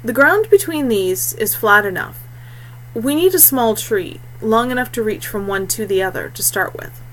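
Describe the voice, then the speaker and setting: A woman voicing a calm, patient young forest-born woman in a storybook, speaking with quiet assurance. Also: on the high side, moderate pace, slight background noise, low-quality recording. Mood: patient, practical.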